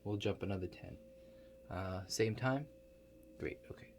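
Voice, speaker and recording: A low male voice , a man in character, plays an awkward tinkerer running a future-viewing contraption in an ASMR roleplay. He speaks softly and haltingly, with understated, mumbled asides and long hesitations. Faint noise sits behind the voice. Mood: musing. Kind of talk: soft-spoken ASMR roleplay.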